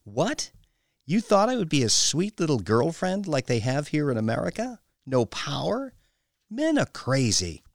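A man's voice: a little high, sing-song, a little slow, vocal fry, no echo, clean studio sound, voice pushed up, full character voice. A man voicing a stern, domineering foreign woman in an audiobook, with a commanding, clipped and scornful delivery. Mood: indignant, proud. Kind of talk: audiobook character dialogue.

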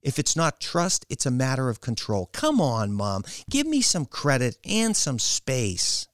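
Man voicing an excitable young woman, pitched high. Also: a little slow, no room sound, clean studio sound. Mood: defiant. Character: excitable, defensive young woman, an app developer.

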